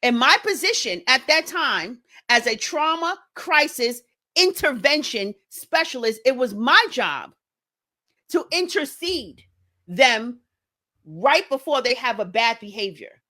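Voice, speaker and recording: A middle-aged woman speaking deliberately and pointedly in direct address, repeating phrases for emphasis. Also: sits high, very animated, pushed and bright. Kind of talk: direct address response video.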